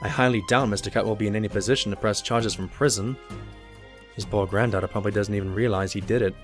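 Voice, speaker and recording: A man's voice: lowish voice, fairly even intonation, quick pace, soft background music, natural voice. A man playing a polite but skeptical pony doctor, a detective's sidekick, in an audio drama. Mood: sober.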